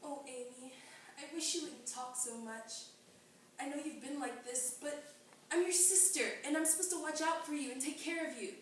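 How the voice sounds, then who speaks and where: A woman's voice: high-pitched, moderate pace, close to an everyday voice. A woman performing a stage monologue as an earnest, chiding dead sister, genteel and old-fashioned, recorded in an echoey room. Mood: worried, sorrowful. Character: chiding, mournful dead sister, a small-town lady. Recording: echoey room from far off, noisy.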